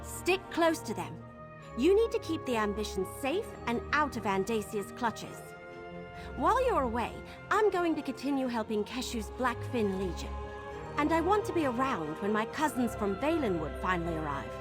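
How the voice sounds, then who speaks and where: High, very lively, chirpy young woman. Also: moderate pace, over music. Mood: cheerful, eager. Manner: breezy, bubbly, expressive. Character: chirpy, scatterbrained, friendly young female adventurer. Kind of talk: fantasy game acting.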